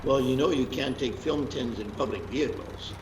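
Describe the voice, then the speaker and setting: A man's voice: medium pitch, normal speed, close to an everyday voice. A man playing a stern voice of urgent warning in a theatrical radio play. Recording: distant, echoey, noisy radio play voice.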